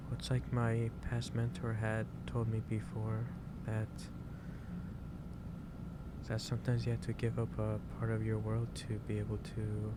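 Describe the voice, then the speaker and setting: A man playing a gentle, caring office boss in an ASMR roleplay, speaking in a halting, reassuring way. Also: fairly low pitch. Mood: earnest. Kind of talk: improvised ASMR roleplay, one-sided conversation. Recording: steady loud background noise.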